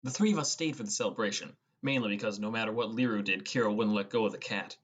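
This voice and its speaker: A man's voice: lowish voice, quick pace, a bit bright. Young man narrating a story. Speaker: audiobook narrator. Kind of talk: scripted first-person narration.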